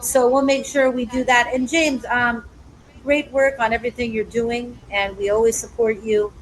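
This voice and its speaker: Host: upbeat woman, slightly high voice, chatty, faint room noise. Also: level tone, moderate pace, rough recording. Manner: upbeat, chatty, addresses audience directly. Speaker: talk show host. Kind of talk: hosting a live hybrid talk show.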